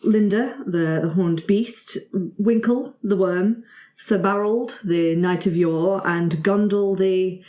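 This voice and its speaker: A woman improvises narration as a fantasy game master. Her voice is soft-edged and mellow at an average pitch, conversational and playful. The studio sounds roomy. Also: steady tone, slow.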